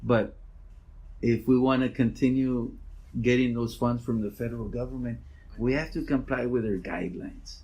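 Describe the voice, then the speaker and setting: Man, middle-aged, loud voice, school principal, easygoing interview answers, restarts his sentences. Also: medium pitch, normal speed, gravelly, dull audio. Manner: relaxed, rambling with restarts.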